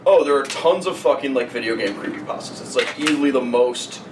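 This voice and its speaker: A man's voice: mid-range voice, a little fast, roomy sound. A man co-hosting a podcast in a blunt, exasperated, sweary and casual way, critiquing as he talks. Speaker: podcast co-host. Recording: noisy, distant room mic.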